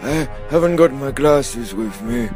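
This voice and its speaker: A man's voice: mid-range voice, lively intonation, a little slow, darkish tone, faint music behind, natural voice. Man playing swooping, pompous comic wizard. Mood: silly, greedy. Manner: theatrical, grandly exclamatory. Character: eccentric, pompous, silly old male wizard. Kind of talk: comedic fantasy radio play acting.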